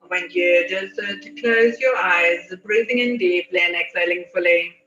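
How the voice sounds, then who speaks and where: A woman leading a guided meditation in a soothing, unhurried manner with long pauses. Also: on the low side, fairly bright tone. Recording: muffled, echoey, distant, music behind. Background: meditation music.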